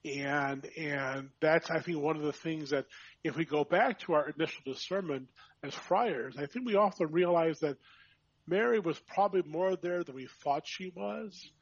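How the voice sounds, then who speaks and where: Husky-voiced priest, reflective and warm-hearted. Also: male voice, fairly high pitch, moderate pace, no room sound, highs cut off. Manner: reflective, with frequent restarts and fillers. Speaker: priest and vocation director. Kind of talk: conversational podcast chat.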